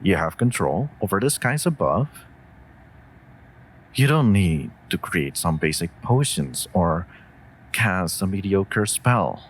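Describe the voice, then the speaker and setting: A man voicing a calm, loyal wolf familiar with dry humour in a fantasy audio drama, talking in a measured, wry, reassuring way. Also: deep voice, a little hiss or hum, full character voice. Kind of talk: scripted roleplay audio drama addressed to listener.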